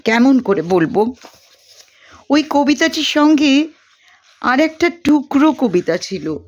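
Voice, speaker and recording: A woman reader acts out a brooding young male student from a storybook. The delivery is slow and declamatory, with wide swings of pitch and a poetic, despairing temper. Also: big pitch swings, lo-fi sound, exaggerated voice. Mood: wistful, brooding. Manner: dramatic, declamatory. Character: brooding young male student reciting poetry, theatrical, despairing about competition.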